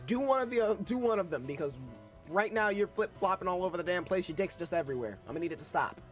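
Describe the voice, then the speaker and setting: A man's voice: high voice, expressive, quick pace, faint music behind. A young man reading a novel aloud in first person, breaking off for irreverent, joking asides. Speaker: reader of a novel.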